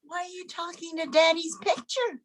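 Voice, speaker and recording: A woman playing a curious, excitable young schoolgirl in an old-time radio soap. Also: very high-pitched, normal speed.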